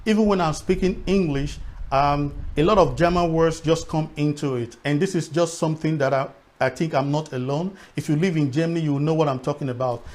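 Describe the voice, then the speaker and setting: A man's voice: fairly high pitch, fairly quick, darkish tone. A middle-aged man with a Nigerian accent and Edo as his first language talks reflectively to viewers, repeating words for emphasis. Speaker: vlogger.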